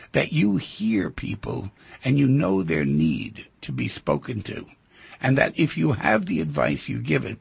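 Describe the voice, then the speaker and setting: A man hosting a call-in radio talk show who asks callers gentle, probing questions. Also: on the low side, gravelly, slightly rough, no room sound, muffled recording.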